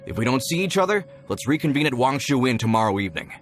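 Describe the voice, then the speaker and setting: A man playing a practical, concerned mine foreman in a video game, speaking in a brisk, plain way. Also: medium pitch, big pitch swings, soft background music, lightly acted. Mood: resolute. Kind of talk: video game character acting.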